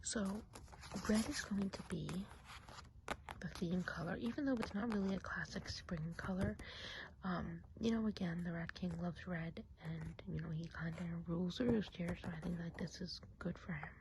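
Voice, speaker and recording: A woman's voice: lowish voice, normal speed, background noise, lightly acted. A woman playing a whispering, gossipy woodland witch. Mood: gentle, chatty. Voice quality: soft breathy whisper. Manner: confiding, gossipy, asks the listener questions. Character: chatty gossipy woodland witch planning enchanted festival. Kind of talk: ASMR roleplay, whispered.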